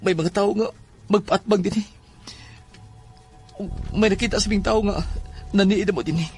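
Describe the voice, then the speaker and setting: A man's voice: high-pitched, moderate pace, darkish tone, faint music behind. A man acting as an anxious, secretive young son in a horror audio drama, with a Filipino accent and a grave delivery. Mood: troubled, guarded.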